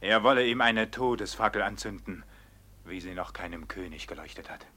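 An actor playing a hearty, excitable robber in a classic radio drama, exclamatory and urgent. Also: male voice, on the low side, brisk, slight background noise. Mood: alarmed.

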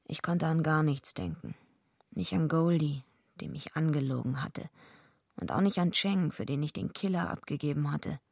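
Radio drama lead: a tough, streetwise female fighter, low gravelly voice, blunt and laconic. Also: lively intonation, normal speed, a little mellow, dry recording, studio quality, full character voice. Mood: dry, brooding. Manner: blunt, terse, laconic first-person telling. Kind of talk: radio drama acting with narration.

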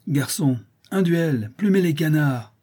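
A man's voice: fairly high pitch, expressive, slow, mellow, slightly rough, pitched above the performer's own voice, exaggerated voice. A man voicing a sly, mocking crippled street boy in a dramatized audiobook. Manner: jeering, sneering.

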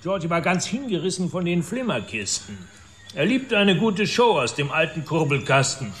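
An actor in a German radio drama playing an older, boastful, domineering self-made property developer who talks in a gruff, swaggering way. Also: male voice, a little high, big pitch swings, moderate pace, fairly bright tone. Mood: boastful reminiscing. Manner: gruff, swaggering, coarse storytelling.

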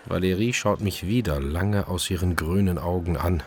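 A man's voice: deep voice, normal speed, a little mellow. An actor playing a brooding chef who narrates his own case in the first person, wry and introspective. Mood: uneasy, observant. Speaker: first-person narrator in a radio crime drama.

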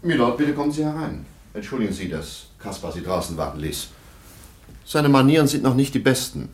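An actor playing a stern, sceptical middle-aged German baron in a radio play, dry, formal and sardonic. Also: male voice, mid-range voice, very animated, a little fast, a little mellow, close to an everyday voice. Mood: stern, clipped.

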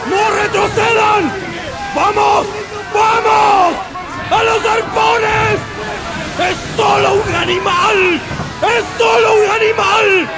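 A screaming whaler in battle. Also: male voice, very high-pitched, steady tone, slow, gravelly, heavy background noise, full character voice. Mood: frantic, commanding. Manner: shouting orders over chaos. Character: frantic male whaler shouting in battle. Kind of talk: radio drama acting. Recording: loud music and crowd din.

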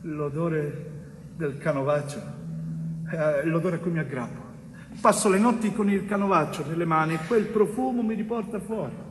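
A man acting a wrongly jailed young bricklayer in a theatrical monologue, anguished and pleading, speaking in halting, emphatic phrases. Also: fairly high pitch, noisy background, lightly acted. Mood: haunted remembering. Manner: dramatic, halting short phrases with emphatic repetition. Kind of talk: theatrical monologue, stage narration. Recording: reverberant echoing hall, music underneath.

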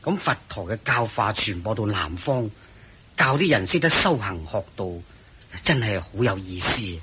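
聲線明亮、語調生動嘅阿難. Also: male voice, on the low side, lively intonation, moderate pace, pushed and bright, husky, heavily acted. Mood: eager, curious. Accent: Hong Kong Cantonese. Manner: deferential, inquisitive. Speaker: radio drama actor. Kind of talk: Cantonese radio drama acting. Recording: faint background noise.

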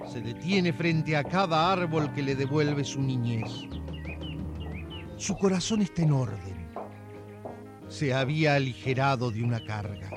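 A man narrating a radio serial in a dramatic scripted style. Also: mid-range voice. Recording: music behind.

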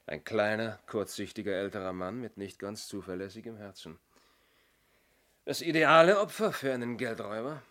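A man's voice: lowish voice, moderate pace, fairly bright tone, natural voice. An actor playing an inspector's observant male assistant in a 1970s radio play, speculating in a dry, wry manner. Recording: noisy old radio play recording.

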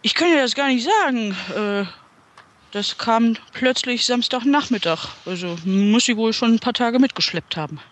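A woman's voice: fairly high pitch, normal speed, a little hiss or hum. A woman with a cold and a blocked nose, chatting casually and laughing often while playing a party game with friends on a podcast. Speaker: podcast host and player.

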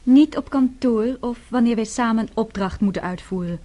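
High, husky actress as prim career woman. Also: moderate pace, warm tone, lightly acted. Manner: formal, politely insistent. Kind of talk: radio play acting in Dutch.

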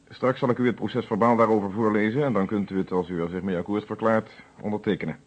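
Muffled, husky police inspector. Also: male voice, fairly low pitch. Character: composed, methodical male police inspector. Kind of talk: Dutch detective radio play acting. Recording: muffled old radio recording.

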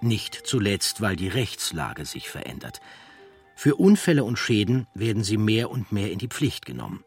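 A man's voice: lowish voice, sing-song, normal speed, breathy edge, faint music behind. A man reading scripted narration for a documentary audiobook.

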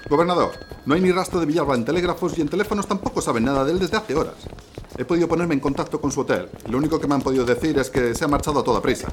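A man's voice: fairly high pitch, rapid-fire, a little hiss or hum, natural voice. A man playing a grim, dutiful army lieutenant who reports formally and matter-of-factly in an audio drama. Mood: grim, urgent.

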